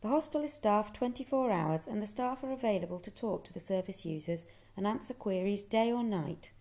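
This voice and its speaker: A woman reading scripted documentary narration in a calm, measured way. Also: medium pitch. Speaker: narrator and museum project worker.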